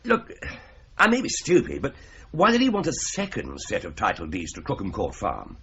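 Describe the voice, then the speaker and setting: An actor playing a bluff, easily astonished gentleman colleague who exclaims in surprise, in a radio drama. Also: on the low side, huge pitch swings, normal speed, full character voice. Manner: bluff, exclaiming "Good Lord", self-deprecating. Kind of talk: BBC-style radio drama acting. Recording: dull lo-fi radio play with faint hiss.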